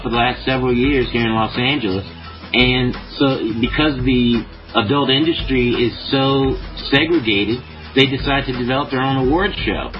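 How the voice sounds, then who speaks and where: A man's voice: mid-range voice, lo-fi sound. A man guest on a podcast, talking in a laid-back, rambling way, full of swearing and fillers. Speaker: podcast guest, show promoter. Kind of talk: casual chat.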